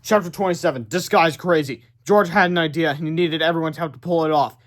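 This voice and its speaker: Guy reading a kids book out loud, voice a bit high, theatrical but informal narrator. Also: fast talker. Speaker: read-aloud narrator. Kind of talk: animated children's storybook narration.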